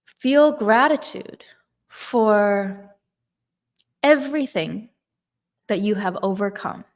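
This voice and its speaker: A woman giving a slow, emphatic motivational talk on manifestation and gratitude. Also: medium pitch, expressive. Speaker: manifestation coach.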